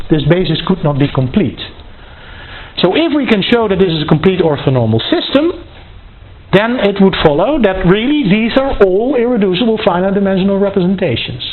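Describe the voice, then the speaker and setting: A university maths lecturer thinking aloud through a proof, halting and deliberate in delivery. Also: medium pitch. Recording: dull lo-fi sound, faint noise.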